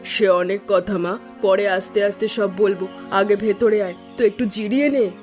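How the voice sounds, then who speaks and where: A woman voicing a caring middle-aged wife and mother in a cartoon story, speaking gently and soothingly. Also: mid-range voice, steady tone, normal speed, faint music behind, close to an everyday voice. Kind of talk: cartoon voice acting.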